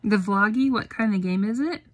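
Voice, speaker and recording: A woman's voice: medium pitch, moderate pace. A woman talking casually with a child in an encouraging way, often asking prompting questions, recorded on a phone in a bedroom. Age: adult. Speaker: parent helping a child read and make a video. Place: small child's bedroom, indoors. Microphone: phone at arm's length.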